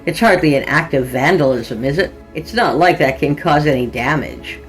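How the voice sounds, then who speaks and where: Woman narrator as a sardonic loser dude in a dark comedy story, dismissive n dodgy, faint music. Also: low voice, normal speed, close to an everyday voice. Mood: scornful. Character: defiant, scornful deadbeat man dodging accusations.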